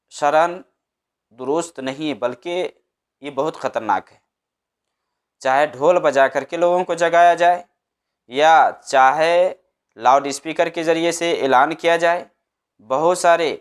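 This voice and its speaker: Male preacher, slightly high smooth voice, didactic religious guidance with emphatic repetition. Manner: didactic, emphatic, repeats his points in short phrases. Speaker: Islamic preacher answering a religious question. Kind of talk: religious advice talk.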